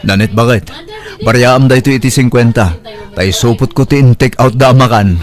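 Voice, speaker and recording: A man's voice: mid-range voice, normal speed, soft background music, lightly acted. A man with an Ilocano accent playing a tender, devoted middle-aged husband and single father in a sentimental audio drama. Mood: friendly, warm. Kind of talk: Ilocano radio-style audio drama acting.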